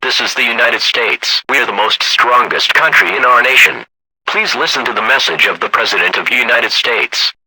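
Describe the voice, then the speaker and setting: A man delivers scripted emergency alert announcements. His voice is very bright and forceful, with a husky edge, in a very dry recording. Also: medium pitch, normal speed, no room sound, rough recording.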